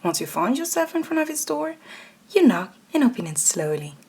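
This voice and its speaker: A woman's voice: high-pitched, very animated, moderate pace, darkish tone, a little hiss or hum. A woman reading a scripted second-person ASMR story as its narrator. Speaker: narrator and voice actor.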